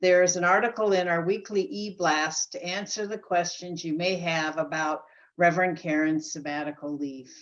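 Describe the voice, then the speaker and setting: A woman's voice: fairly low pitch, steady tone, normal speed, lo-fi sound. A woman speaking as a church committee member, reading a prepared announcement.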